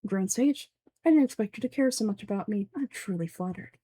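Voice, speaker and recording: A woman voicing a cool, sardonic young male scribe from a game, speaking in a measured way. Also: mid-range voice, animated, dark tone, natural voice. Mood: dryly sardonic. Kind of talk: game dialogue dub.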